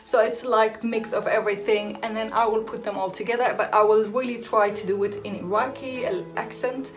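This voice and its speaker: A woman's voice: a little high, steady tone, a little fast, faint music behind. A young woman from Iraq whose first language is Aramaic, chatting casually to camera as a hair and beauty vlogger, laughing often and restarting her sentences.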